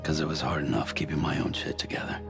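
An American man playing a world-weary, guarded middle-aged courier in a video game, gruff, terse and low-key. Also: little pitch movement, fast. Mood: reflective. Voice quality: gruff, gravelly. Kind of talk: cinematic video game acting. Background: music.

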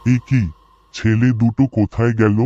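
A man's voice: mid-range voice, little pitch movement, moderate pace, dark tone, no room sound, dull audio, natural voice. A man voicing a dutiful, earnest village cremation-ground worker in a horror cartoon. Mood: puzzled, uneasy. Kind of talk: cartoon dialogue and spoken thoughts.

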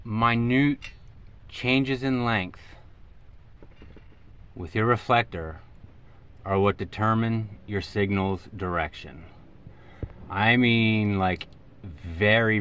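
Man, American accent, forties, lowish voice, casual how-to vlog, emphatic halting phrasing. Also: fairly bright tone. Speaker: radio hobbyist video host. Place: inside a parked SUV.

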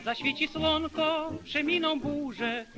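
A man's voice: very high-pitched, slow, pushed and bright, no echo, faint music behind. A man with a Polish accent singing 1930s dance jazz as a crooner, on a vintage gramophone record. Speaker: singer. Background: dance jazz orchestra.

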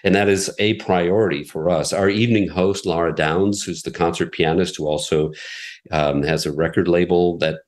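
A middle-aged man with a very low, deep voice talks through his ideas in a measured, explanatory way. He often restarts his sentences as he works out what he means, like a seasoned radio executive answering interview questions. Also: fairly even intonation. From United States. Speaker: radio station president, guest.

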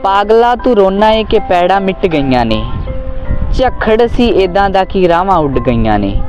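A man's voice: high-pitched, sing-song, a little slow, a little mellow. An earnest, solemn man, speaking as a host who recites and discusses poetry. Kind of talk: spoken commentary with poetry recitation. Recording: muffled lo-fi audio with background music. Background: music.

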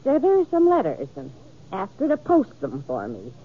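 A woman playing a meddling, sheepish older American small-town matchmaker in a 1950s radio western, halting and folksy. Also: mid-range voice, wildly swooping pitch, breathy edge. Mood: sheepish confessing. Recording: narrow-band old radio recording.

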